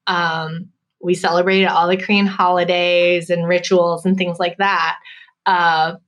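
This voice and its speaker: A woman's voice: lowish voice, level tone, a little slow, fairly bright tone. A woman in her thirties with an American accent, a professor speaking as an interview guest in a reflective, hedging way. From United States. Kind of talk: casual interview conversation.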